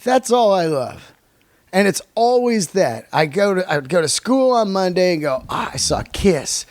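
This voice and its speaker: Higher-voiced American man, dry close sound. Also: big pitch swings, moderate pace, no room sound. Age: middle-aged. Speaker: podcast host. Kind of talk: casual chat.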